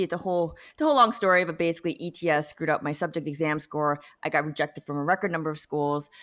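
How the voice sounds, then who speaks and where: A woman telling her own story as an interview guest, speaking informally and conversationally, with many "you know"s and "like"s. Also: low voice. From born in South Korea, later immigrated to the United States. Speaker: interview guest, data science professional.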